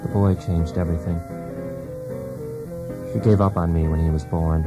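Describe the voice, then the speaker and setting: An actor playing a wounded, brooding husband in a poetic radio drama, speaking in a deliberate, intense, theatrical way. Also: male voice, low-pitched, fairly even intonation, natural voice, rough recording. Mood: wistful, hurt. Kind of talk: poetic monologue in a radio play. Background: music.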